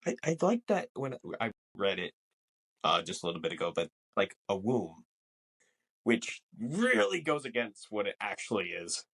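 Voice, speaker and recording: Male podcast co-host, fairly low pitch, conversational and explanatory, measured delivery. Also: sing-song, vocal fry, a bit bright, husky. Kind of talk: casual movie discussion.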